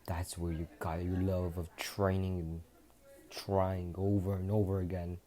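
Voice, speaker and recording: A man voicing a proud, arrogant alien warrior king who barks gruff orders. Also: low-pitched, level tone, a little slow. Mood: commanding. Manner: gruff, scolding, shouting.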